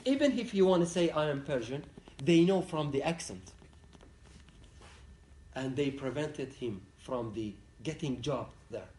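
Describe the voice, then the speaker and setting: A man from Ahwaz gives a conference lecture as a human rights activist. He speaks in earnest, halting English. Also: mid-range voice, lively intonation, warm tone, a little hiss or hum. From Ahwaz, southwestern Iran. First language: Arabic. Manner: earnest, plain-spoken, halting non-native English. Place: university lecture room. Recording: echoey room, picked up from a distance.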